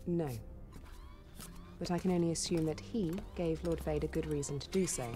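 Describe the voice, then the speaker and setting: A self-assured young military pilot in a video game, played by an actress. Her voice is on the low side and her delivery is cool and formal, with faint music behind. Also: fairly even intonation, moderate pace, warm tone, close to an everyday voice. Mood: formal, confident. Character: confident, crisp young female military pilot. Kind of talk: scripted game cutscene dialogue.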